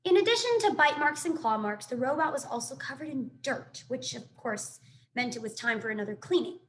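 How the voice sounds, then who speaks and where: A woman reading a children's book aloud in an expressive, animated storytelling manner. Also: high-pitched, a little fast, a little hiss or hum. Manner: animated, dramatic sound effects and asides.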